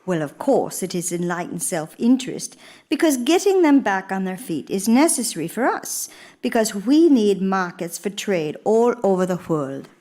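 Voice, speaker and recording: A woman performs an elderly, genteel former First Lady in a theatrical radio monologue. She is gracious and reflective, shaping her phrases deliberately. Her pitch moves widely and expressively as she tells her story. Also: big pitch swings, warm tone, close to an everyday voice. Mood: gracious, earnest. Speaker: stage actress.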